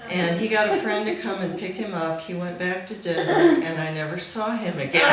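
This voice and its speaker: A woman speaking at a memorial gathering, telling an informal anecdote in an amused way. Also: lowish voice. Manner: amused, often laughing as she talks. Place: back room at a live gathering. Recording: very echoey room, faint noise.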